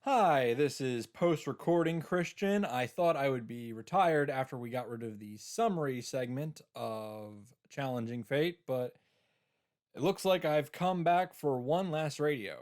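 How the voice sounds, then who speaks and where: A man's voice: medium pitch, expressive, a little slow, a little mellow. A man giving casual commentary on a game playthrough, with wry, self-interrupting asides.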